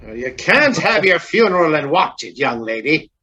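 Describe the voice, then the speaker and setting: A man acting a crotchety, outspoken old gentleman, formal and pompous, in a radio drama. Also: fairly high pitch, sing-song, moderate pace, crowd noise. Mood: scolding. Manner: blustering, pompous.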